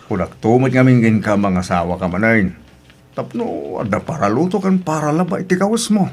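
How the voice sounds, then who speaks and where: An actor playing an easygoing, devoted husband and market vendor in a radio drama, with an Ilocano accent. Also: male voice, mid-range voice, lively intonation, fairly quick, close to an everyday voice. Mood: teasing.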